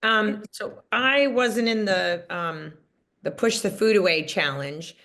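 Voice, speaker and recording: A woman's voice: medium pitch, slow, fairly bright tone. A woman teacher sharing a story on a group coaching call, chatty and wry, with frequent fillers. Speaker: caller, teacher.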